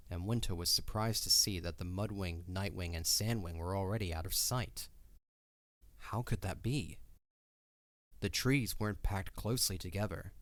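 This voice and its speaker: A man's voice: deep voice, dry recording. A man narrating a scripted fantasy story as the narrator of an audio drama.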